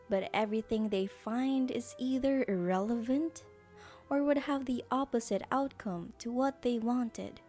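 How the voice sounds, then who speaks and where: A woman's voice: on the high side, dark tone. A woman narrating a scripted audio drama as its storyteller.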